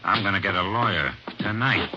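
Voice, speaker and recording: Low whiny crook, sneering, always griping. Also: male voice, fairly quick, very noisy. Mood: whiny, surly. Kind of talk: 1950s radio drama acting. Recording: dull old radio recording.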